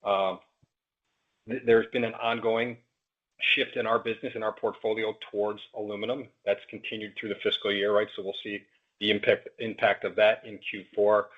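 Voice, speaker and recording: Husky, monotone male finance executive. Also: on the low side. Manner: halting, repeats words. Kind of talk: answering analyst questions on earnings call. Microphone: conference call line. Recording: muffled conference-call audio.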